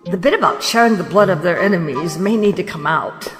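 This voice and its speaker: A woman's voice: on the low side, expressive, brisk, natural voice. A fussy, deferential officiant acted by a woman in an audio drama. The delivery is officious and ceremonial. The voice rings in a big hall over faint music. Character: fussy, deferential non-human wedding officiant.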